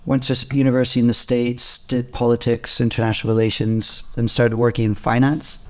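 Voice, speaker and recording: A man in his thirties who grew up in Spain talks as an interview guest. His pitch is mid-range and stays very even as he tells his story in a casual, conversational way. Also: fairly quick. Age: 30s. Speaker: podcast guest, gym co-founder.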